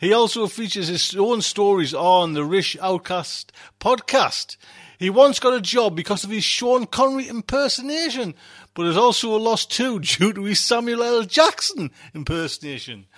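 High-voiced, exuberant male podcast host. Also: expressive, normal speed, fairly bright tone. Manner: exuberant, emphatic, jovial. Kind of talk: chatty podcast introduction.

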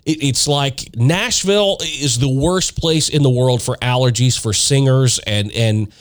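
A man's voice: mid-range voice, a little slow. A man hosting his own podcast in a chatty, upbeat way, talking through a stuffed-up, nasal voice from allergies. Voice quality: congested, nasal. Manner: chatty, upbeat, with frequent restarts. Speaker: podcast host. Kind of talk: casual solo podcast talk.

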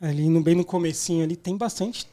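São paulo dude cohosting, kinda high voice, teasing upbeat slangy chat. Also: male voice, fairly even intonation, quick pace, a loud crowd. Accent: São Paulo Brazilian. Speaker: podcast co-host. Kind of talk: informal casual chat.

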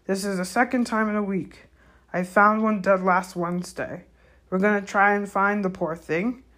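A woman voicing a big, gruff male gamekeeper with rustic, commanding speech for a storybook reading. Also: medium pitch, fairly even intonation, normal speed, fairly bright tone, pitched above the performer's own voice, close to an everyday voice. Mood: grave. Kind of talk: audiobook character voice.

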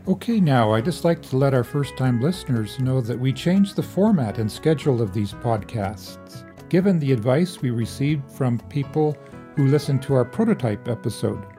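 Mellow-voiced male podcast host. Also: medium pitch, expressive, moderate pace, faint music behind. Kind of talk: scripted podcast narration.

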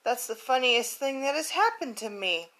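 A woman's voice: a little high, animated, normal speed, a bit bright. A woman voicing an apologetic male elephant in a rhyming storybook read aloud. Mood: amazed. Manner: apologetic, fussy rhyming speech. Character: sorry, sneezy male elephant.

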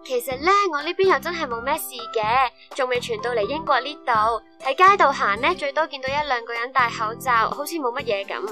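High-voiced young Hong Kong student, played by an actress. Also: female voice, fairly quick, few pauses, music in the background, natural voice. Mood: concerned. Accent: Hong Kong Cantonese. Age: young adult. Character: caring, chatty young female student abroad. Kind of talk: radio drama acting.

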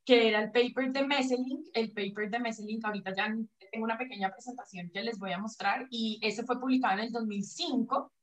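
A woman from Colombia speaks in a fairly high voice over a video call. She explains at length, pausing on fillers and restarting her sentences as she goes. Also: fairly even intonation. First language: Spanish. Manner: hesitant, many restarts and fillers. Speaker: pelvic floor physiotherapist, guest. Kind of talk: online interview.

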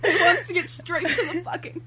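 A young woman co-hosting a casual, joking read-aloud of amateur fiction, excitable and giggly, swearing freely as she comments. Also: very high-pitched, brisk, a little hiss or hum. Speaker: co-host doing a dramatic reading.

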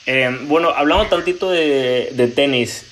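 A man interviews a guest in a relaxed, chatty way. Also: on the high side, a little slow, slight background noise. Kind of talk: casual interview chat.

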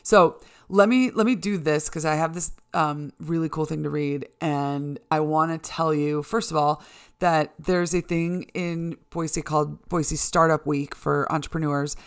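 A woman's voice: low-pitched, fairly even intonation, unhurried, clear, resonant tone. A middle-aged American woman hosting a solo podcast, talking candidly and casually to listeners in an informal, mildly sweary way. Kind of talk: casual conversational monologue to listeners.

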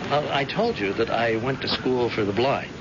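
A man's voice: medium pitch, moderate pace, lightly acted. An actor playing a stubborn blinded police captain and protective father in a radio drama, speaking insistently with hesitant starts. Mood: proudly confident. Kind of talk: old-time radio drama acting. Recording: noisy, muffled old radio recording.

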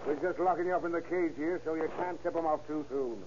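An actor playing a cocky, sneering gangster with tough, bossy slang in an old radio crime drama. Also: male voice, a little high, fast talker, darkish tone, natural voice. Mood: mocking. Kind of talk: 1930s-40s radio acting. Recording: muffled, noisy old radio recording.

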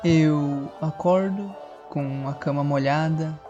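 A player narrating their child character's morning in the first person, brisk and comic. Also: medium pitch, dark tone, dull audio, soft background music. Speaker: tabletop player.

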